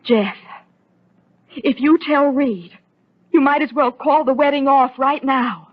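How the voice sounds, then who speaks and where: A woman's voice: high-pitched, slow pace. An American woman playing an earnest, outspoken, devoted woman in an old-time radio soap opera, emotional and insistent. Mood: pleading, insistent. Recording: dull, lo-fi vintage radio recording.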